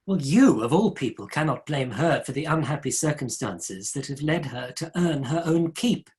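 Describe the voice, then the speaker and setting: Man playing earnest young Victorian gentleman. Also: fairly high pitch, lively intonation, normal speed, close to an everyday voice. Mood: defiant. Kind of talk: dramatic play reading.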